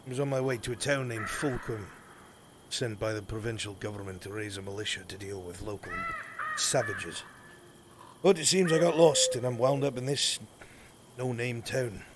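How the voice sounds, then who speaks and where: A man playing a formal, measured, self-assured gentleman recruiter in an improvised live roleplay. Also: mid-range voice, close to an everyday voice. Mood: composed. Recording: very noisy game voice chat.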